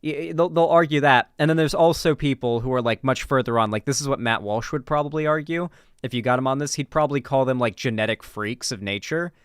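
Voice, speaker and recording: A man's voice: mid-range voice, quick pace, clear, resonant tone, no room sound, studio quality. A man giving casual, unscripted commentary as a streamer, informal and emphatic, cursing and often restarting mid-thought.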